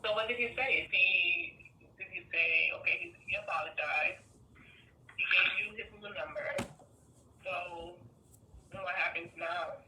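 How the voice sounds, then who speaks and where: A woman's voice: lowish voice, measured pace, pauses often, bright, forceful tone, recorded from a distance, slight background noise. Congested woman, echoey phone line. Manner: probing, asks many follow-up questions. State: congested with a cold. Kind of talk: casual phone conversation.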